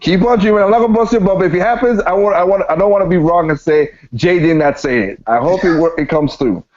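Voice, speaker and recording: Male podcaster, slightly high voice, emphatic slangy opinions, casual delivery, dull lo-fi recording. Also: quick pace, a little mellow. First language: not standard American English. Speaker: podcaster, guest on a gaming podcast.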